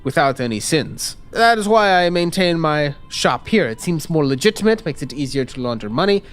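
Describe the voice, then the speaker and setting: Man putting on a formal, animated shady fixer. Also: on the high side, normal speed. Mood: businesslike. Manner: clipped, matter-of-fact phrasing. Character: shady male fixer, the Turk, formal, dry, businesslike. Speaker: game master. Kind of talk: tabletop roleplay.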